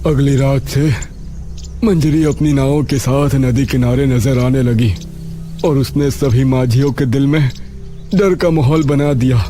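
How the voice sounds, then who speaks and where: A man's voice: mid-range voice, steady tone, a little slow, dark tone, close to an everyday voice. A man voicing a grave village headman recounting a haunting in a horror story, over music.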